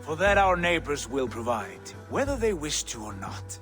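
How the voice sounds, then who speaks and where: An actor playing a confident Viking jarl in a video game cutscene, commanding and welcoming in a formal, declamatory way. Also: male voice, a little high, very animated, normal speed, a bit bright, soft background music. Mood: decisive.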